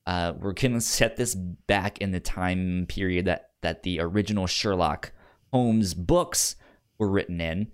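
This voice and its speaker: A man's voice: low voice, a little slow, clean tone. A man co-hosting a podcast in casual, unscripted chat, often repeating words as he thinks aloud. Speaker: podcast co-host.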